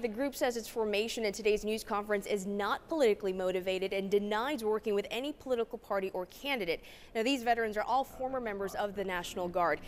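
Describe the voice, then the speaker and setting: Woman reporting TV news, medium pitch.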